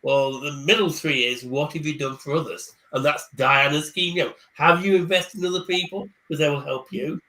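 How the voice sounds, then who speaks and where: A man speaking over a video call, his voice a little high and very bright. He talks casually about books, stumbling and repeating words, then pushes ahead quickly. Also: steady tone, low-quality recording. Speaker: career coach guest speaker. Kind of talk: casual chat on a live online panel.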